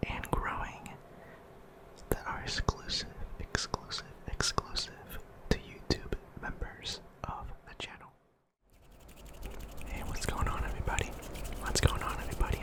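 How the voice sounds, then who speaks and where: A young man speaking in a breathy whisper, giving casual, rambling ASMR commentary over gameplay and often repeating words. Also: poor audio. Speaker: host of a gaming ASMR channel.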